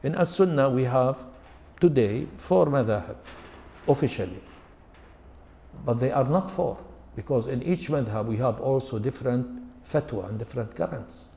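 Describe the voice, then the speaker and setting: A man in his seventies, an Arabic speaker, delivers an English-language religious lecture. His voice is mellow and dark, and he piles up lists and rhetorical questions in a measured way. The sound carries a long reverb tail from a large hall. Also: mid-range voice, lively intonation, gravelly. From Lebanon. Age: 70s. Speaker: Islamic scholar and lecturer.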